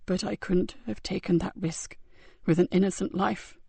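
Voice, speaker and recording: A woman voicing a badly wounded male sergeant who speaks weakly, haltingly and breathily. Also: mid-range voice, steady tone, vocal fry, darkish tone, husky, no room sound. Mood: faint.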